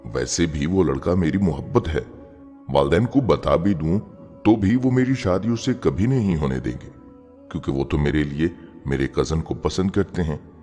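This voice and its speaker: A man's voice: rumbling low, animated, moderate pace, mellow. A man voicing an emotional, educated young woman in love, in a dramatic moral story. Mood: defiant, self-justifying. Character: educated young woman, lovestruck, torn by guilt. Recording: long reverb, music bed behind.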